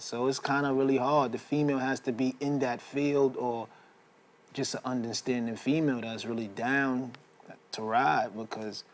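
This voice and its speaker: A man in his late twenties, a rap artist being interviewed, answering in a laid-back, slangy way and laughing easily. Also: medium pitch. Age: 20s.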